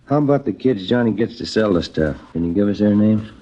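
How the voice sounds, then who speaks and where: An actor playing a low-key juvenile police detective, mild and plain-spoken, in an old radio crime drama. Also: male voice, lively intonation, brisk, warm tone. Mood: calm, sympathetic. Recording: dull noisy old radio recording.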